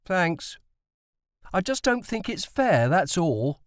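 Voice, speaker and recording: A man's voice: high voice, very animated, slow, no room sound, clean studio sound, voice pushed up, full character voice. A man voicing an earnest, indignant young boy in an audiobook, plaintive and protesting.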